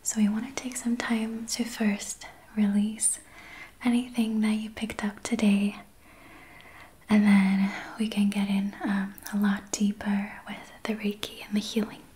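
Female ASMR Reiki host: breathy whisper, soft mellow tone, gentle and soothing, very even delivery. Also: medium pitch, slight background noise. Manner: slow, gentle, soothing guidance. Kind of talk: soft-spoken whispered ASMR guided healing session.

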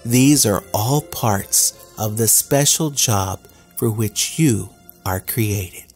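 A man voicing a small, eager young male bluebird with a chirpy, excitable way of talking in a storybook. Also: fairly low pitch, takes it slowly, faint music behind. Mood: gentle.

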